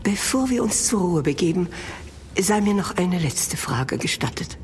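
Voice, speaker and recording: An actress playing a courteous, curious princess in a German audio drama, speaking in a refined, polite manner. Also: female voice, on the low side, very animated, normal speed, slight background noise.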